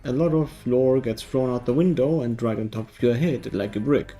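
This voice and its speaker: A man's voice: mid-range voice, normal speed, warm tone, faint music behind. A man narrating a scripted video game review in a wry, tongue-in-cheek way.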